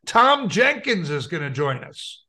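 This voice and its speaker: A man's voice: a little high, big pitch swings, unhurried, creaky, pushed and bright. A middle-aged American man co-hosting a podcast in casual chat, with a hesitant, rambling way of talking. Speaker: podcast co-host.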